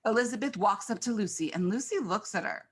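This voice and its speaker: Woman narrator, mid-pitched voice. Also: fast, husky. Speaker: stage-direction narrator. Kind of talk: scripted narration.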